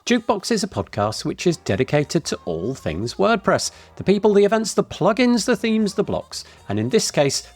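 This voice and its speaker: A man hosting a podcast, reading a scripted introduction and then interviewing his guest. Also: on the high side. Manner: lively, rising and falling delivery. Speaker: podcast host.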